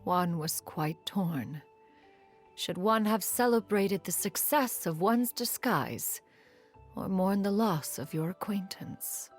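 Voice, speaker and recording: A woman's voice: mid-range voice, lively intonation, slow pace. An actress playing a proud, aloof ancient immortal woman in a game, speaking formally and haughtily in a scripted monologue. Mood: wistful. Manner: formal, haughty, calls herself "one". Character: proud, aloof female adeptus, ancient, sternly caring. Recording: music playing under the voice.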